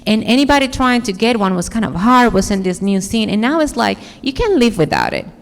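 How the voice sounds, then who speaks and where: Dominican woman, gravelly, echoey hall. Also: fairly high pitch, very animated, fairly quick. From Dominican Republic. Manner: earnest, searching for words. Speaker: conference speaker. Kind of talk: audience Q&A answer. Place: large conference hall.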